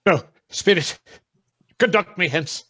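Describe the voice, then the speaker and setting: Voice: medium pitch, slow, fairly bright tone, slightly rough, dry recording. A performer playing a tormented elderly male miser in a theatrical radio drama. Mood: anguished pleading.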